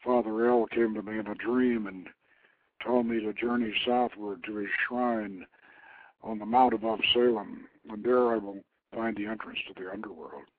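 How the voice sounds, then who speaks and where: A man's voice: on the low side, fairly even intonation, moderate pace, natural voice. A man voicing a dignified, resolute pilgrim king in a story, formal and stately. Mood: solemn, resolute. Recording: thin phone-like internet radio line.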